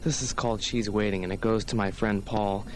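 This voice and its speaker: A man's voice: on the low side, fairly even intonation, a little fast, over loud music. A young American man hosting a 1980s college radio show, talking informally and chattily as a DJ. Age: young adult. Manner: chatty, informal, often says "you know". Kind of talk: 1980s radio show patter, introducing songs. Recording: noisy 1980s radio air-check tape.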